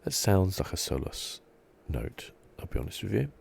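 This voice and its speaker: A man giving soft, relaxed, musing ASMR commentary as host of a video game playthrough. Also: low-pitched, moderate pace, creaky, slight background noise. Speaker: gaming channel host.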